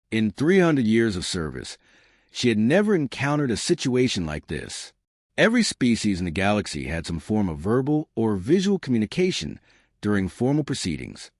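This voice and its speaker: Low-pitched man narrating with lively intonation. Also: no room sound, studio quality. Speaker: story narrator. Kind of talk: scripted narration of a sci-fi story.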